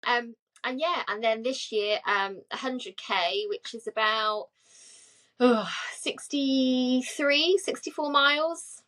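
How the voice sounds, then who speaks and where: A woman's voice: a little high, takes it slowly, fairly bright tone. A woman in her forties co-hosting a casual chat podcast, talking chattily with lots of fillers and frequent laughs. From England. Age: 40s. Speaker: podcast co-host.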